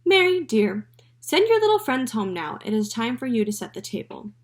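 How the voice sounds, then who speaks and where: A woman's voice: mid-range voice, steady tone, quick pace, darkish tone, lightly acted. A woman voicing a worried, polite mother in a children's story. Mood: coaxing. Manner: anxious and proper.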